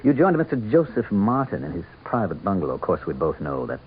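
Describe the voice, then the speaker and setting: A sardonic, swooping political schemer on old radio. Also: male voice, lowish voice, big pitch swings. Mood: quietly menacing. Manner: smug, needling, theatrically deliberate. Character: sardonic, conniving male campaign manager, secretly the Devil. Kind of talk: radio drama acting. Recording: muffled, noisy old radio broadcast.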